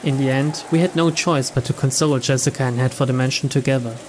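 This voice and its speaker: A man's voice: mid-range voice, steady tone, fast. A man giving a dramatic scripted narration of a visual novel as a let's play reader. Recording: clear background ambience noise.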